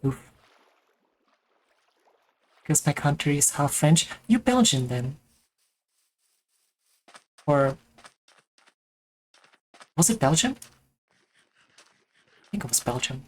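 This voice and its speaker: Low-voiced German woman streaming games. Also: big pitch swings, drawn-out words, pauses often, poor audio. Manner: chatty, rambling, self-mocking. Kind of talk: casual gaming chat.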